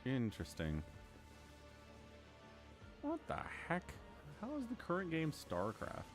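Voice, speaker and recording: Guy on a game stream, teasing, lots of pitch swings, bit of music in back. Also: huge pitch swings. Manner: teasing, quick questions, short replies. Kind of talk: casual chat while gaming.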